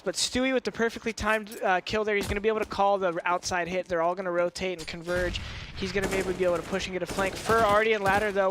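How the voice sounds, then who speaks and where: A man with a high-pitched, slightly nasal voice, commentating a game with chatty, analytical remarks. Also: noisy background. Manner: analytical, chatty, joking asides. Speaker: esports commentator.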